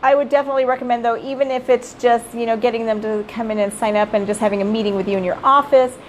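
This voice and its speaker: A middle-aged woman who directs a child care center, giving casual live-streamed advice. She is hoarse from losing her voice and keeps checking in with viewers as "you guys.". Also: on the high side, fairly even intonation, fast, slight background noise. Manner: chatty, addresses viewers as "you guys", often tags "right?".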